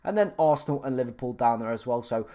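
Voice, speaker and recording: A young man from England talks loosely and chattily to a live audience at a steady middle pitch. He reads questions aloud and thinks out loud, full of fillers and quick restarts. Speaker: fantasy football streamer answering live chat. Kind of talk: casual live-stream chat.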